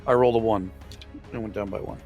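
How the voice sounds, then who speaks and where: A man's voice: fairly low pitch, steady tone, fast talker, narrow, tinny audio. A man chatting casually as a player in a tabletop roleplaying game. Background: background music.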